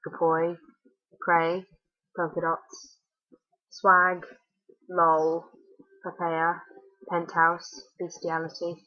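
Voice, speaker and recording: A teenage girl with a Fermanagh accent talks in a chatty, blunt, wry way. Her voice sits low for a young woman. The webcam sound in her small bedroom is dull and lo-fi. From Northern Ireland. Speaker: vlogger. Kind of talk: casual accent-tag video. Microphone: webcam at desk.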